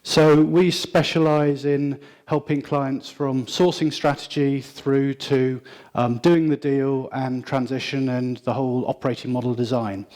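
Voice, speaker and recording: A man's voice: mid-range voice, steady tone, a little slow, a little mellow. A man giving a conversational business presentation at a conference, with frequent ums and restarts. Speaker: conference speaker.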